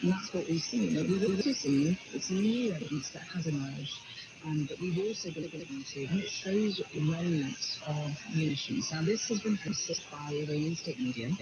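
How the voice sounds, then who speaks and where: A man with a slightly high voice gives explanatory news analysis, repeating himself as he works through points. The sound is dull shortwave radio, swamped in heavy noise. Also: animated, moderate pace. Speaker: journalist explaining evidence.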